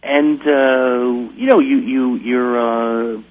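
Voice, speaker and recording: A man's voice: mid-range voice, steady tone, very slow. An American man talking casually as an interview guest, telling stories in a hesitant way with repeated phrases. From Wisconsin, United States. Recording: dull lo-fi radio sound, light hum.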